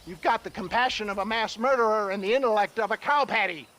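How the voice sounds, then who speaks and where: A man's voice: high voice, fast, sharp tone, slight background noise. An actor playing a shifty, cowardly old snake-oil salesman in a video game, with a pompous, wheedling, hemming-and-hawing manner. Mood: boastful, scornful. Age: older. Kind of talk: dramatic video game cutscene acting.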